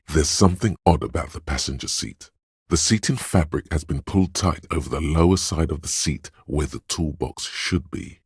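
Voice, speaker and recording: An actor voicing the observant inner voice of a detective in a game, speaking in second person with wry, dry teasing. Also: male voice, a bass voice, husky, no room sound, heavily acted. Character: inner perceptive voice, observant adult male.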